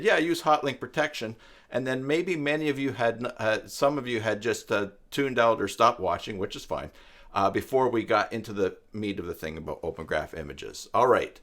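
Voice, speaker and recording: A man's voice: fairly low pitch, fairly bright tone. A man in his fifties hosting a live-stream tutorial in a casual, conversational way, wandering into asides. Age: 50s.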